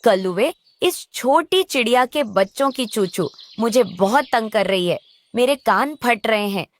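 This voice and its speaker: A woman's voice: a little high, animated, unhurried. A woman voicing an irritable, envious female crow in a children's cartoon, complaining and coaxing. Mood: irritated.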